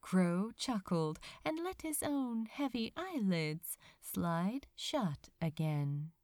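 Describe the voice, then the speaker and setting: A woman narrating a written story as an audiobook reader, in an expressive, measured storytelling manner. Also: medium pitch, dry recording, clean studio sound. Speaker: fan fiction audiobook reader.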